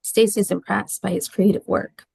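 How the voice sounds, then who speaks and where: A woman's voice: mid-range voice, flat delivery, normal speed, vocal fry, darkish tone, husky, dry recording. A woman reading scene descriptions and stage directions as the narrator of a script.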